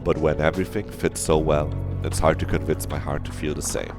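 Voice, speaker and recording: Deep-voiced male streamer reading dramatically. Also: brisk, over music. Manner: dramatic, expressive reading, swears freely. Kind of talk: live visual novel read-aloud with asides.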